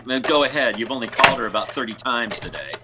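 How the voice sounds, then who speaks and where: A man playing a steady friend who calms a nervous husband, with hesitant starts, in old-time radio comedy style. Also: medium pitch, fast talker, background noise, natural voice. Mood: amused, advising.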